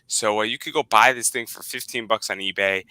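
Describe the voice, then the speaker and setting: Casual host in his twenties, low bright voice, clear and dry, rambling delivery. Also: steady tone, brisk, no room sound. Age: 20s. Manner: rambling, repeats words, laughs often. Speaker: podcast host. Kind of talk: casual chat.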